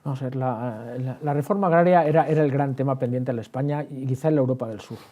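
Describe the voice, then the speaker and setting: Fast, very dark-voiced male historian. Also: mid-range voice. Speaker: historian and writer, interview guest. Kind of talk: explanatory interview talk. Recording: dull, band-limited sound.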